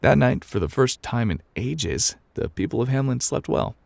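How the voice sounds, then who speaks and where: A man voicing a gruff, indifferent game character who reads a bedtime story in an offhand ASMR narration style with dramatic pauses. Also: medium pitch, expressive, a little mellow, no room sound. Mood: offhand, unhurried.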